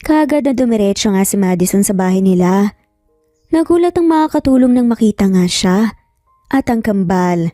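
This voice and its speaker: A woman's voice: mid-range voice, lively intonation, normal speed, warm tone, studio quality. A woman with a Filipino accent reading a scripted, dramatic romance story as narrator. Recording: roomy echo, faint music behind. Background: faint music.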